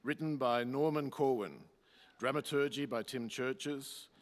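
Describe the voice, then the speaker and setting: A man's voice: mid-range voice, a little slow. A man narrating a radio play in rhyme, with a declamatory storytelling manner. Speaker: announcer and narrator. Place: community hall, live performance. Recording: reverberant hall.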